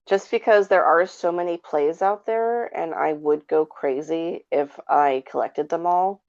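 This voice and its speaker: A woman with a notably low voice chats casually as a podcast guest. She phrases things haltingly and with some hesitation. The sound is dull and lo-fi. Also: darkish tone, clear, resonant tone. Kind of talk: casual chat. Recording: dull lo-fi call audio.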